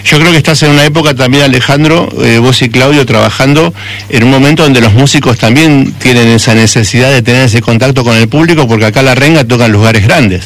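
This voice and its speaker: A dry, close studio recording of an Argentine man hosting a radio interview. His voice sits at a medium pitch, and he talks in a reflective, rambling, conversational way. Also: quick pace, dry recording.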